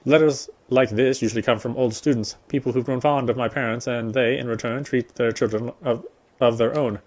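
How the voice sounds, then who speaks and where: Male gameplay narrator, average pitch, measured read-aloud storytelling, visual novel text. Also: steady tone, a little mellow. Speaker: gameplay streamer reading aloud.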